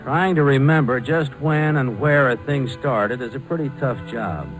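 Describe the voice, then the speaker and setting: An actor with an American accent playing an easygoing former fighter pilot, narrating colloquially in a 1940s radio drama. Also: male voice, mid-range voice, moderate pace, over music, natural voice, rough recording. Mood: easygoing reminiscing. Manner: colloquial, conversational. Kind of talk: 1940s radio acting, folksy first-person narration.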